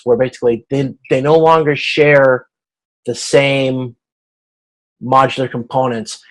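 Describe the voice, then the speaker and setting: A man's voice: mid-range voice, poor audio. A man teaching an informal online class, explaining step by step in a hesitant way and checking for understanding. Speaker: instructor. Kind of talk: informal video-conference lecture explaining slides.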